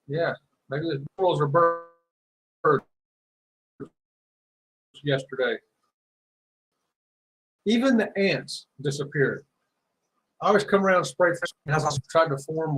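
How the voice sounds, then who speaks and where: A man's voice: on the high side, a little hiss or hum, rough recording. A man co-hosting a casual live chat, telling an easygoing story. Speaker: live show co-host. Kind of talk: casual conversational anecdote.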